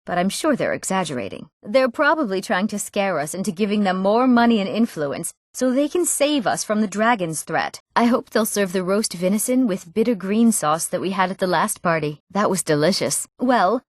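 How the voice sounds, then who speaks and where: A woman's voice: mid-range voice, expressive, moderate pace, hardly any pauses, no echo, clean studio sound, lightly acted. A young woman playing an earnest, proper young townswoman and noblewoman in a fantasy video game, speaking politely and formally with careful enunciation. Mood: earnest, conversational. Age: young adult. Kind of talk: scripted fantasy game dialogue.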